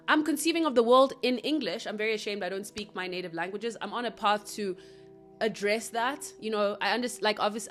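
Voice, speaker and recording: A woman from Africa, hosting a solo spiritual podcast, earnest and reflective with soft asides. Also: medium pitch. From South Africa. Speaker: podcast host.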